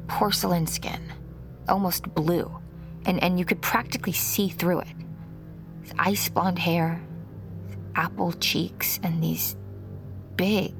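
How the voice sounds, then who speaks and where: A woman's voice: fairly low pitch, fairly even intonation, takes it slowly, long pauses. A woman playing a troubled mother, hesitantly recounting a haunting memory in an audio drama. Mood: haunted, reflective. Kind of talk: confessional first-person narration in audio fiction. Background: music.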